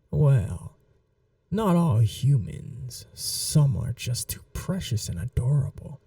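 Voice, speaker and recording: A possessive vampire mistress, acted by a woman for an audio roleplay, speaks very softly and darkly with a gravelly creak. She drawls slowly and coaxes with condescending, sweetly cruel amusement, often dropping to a whisper. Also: extremely low pitch, dry recording, far from the mic, full character voice. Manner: condescending, purring, coaxing like an owner to a pet. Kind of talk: ASMR roleplay, slow intimate monologue.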